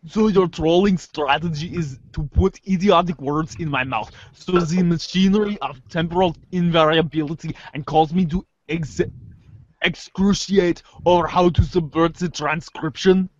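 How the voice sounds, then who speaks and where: A man's voice: fairly high pitch, moderate pace, dry recording, natural voice. A man playing a sarcastic, brainy teenage girl in a comic readers theater, dry and wordy, on a dull lo-fi recording. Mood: sardonic. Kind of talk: readers theater of web comic chat logs. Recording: dull, lo-fi microphone sound.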